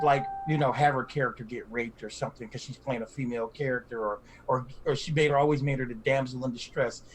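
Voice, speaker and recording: A man's voice: mid-range voice, slight background noise, rough recording. A man in his fifties or older talking emphatically in a casual discussion, repeating phrases for stress. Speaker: podcast panelist.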